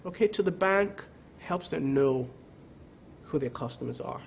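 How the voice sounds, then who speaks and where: A man's voice: on the high side, animated, a little slow, gravelly, slight background noise. A man speaking as a credit bureau executive in a TV business interview, emphatic, repeating words and often asking "okay?". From not from the country of the show.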